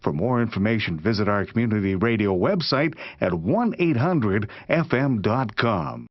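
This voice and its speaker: A man reads a scripted radio promo in a mid-pitched, very expressive voice. The track is dry and close, though slightly dull. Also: moderate pace, no echo. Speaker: tourism radio announcer.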